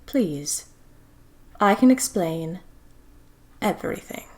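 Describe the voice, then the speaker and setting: A woman voicing a courteous, secretive middle-aged gentleman of the 1920s with a formal, measured way of talking. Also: lowish voice, lively intonation. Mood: earnest, hushed.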